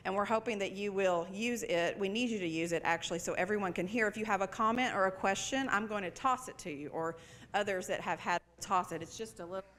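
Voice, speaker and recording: A woman's voice: mid-range voice, fast, fairly bright tone. A woman, a library director, giving a welcome speech at a live campus event, friendly and speaking with many fillers. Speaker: library director introducing a panel.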